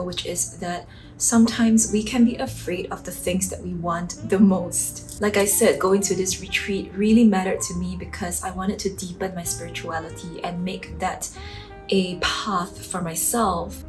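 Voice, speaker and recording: A woman in her late twenties from Singapore, earnestly sharing personal reflections in a vlog. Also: mid-range voice. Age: late 20s. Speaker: vlogger. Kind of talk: reflective personal talk to camera.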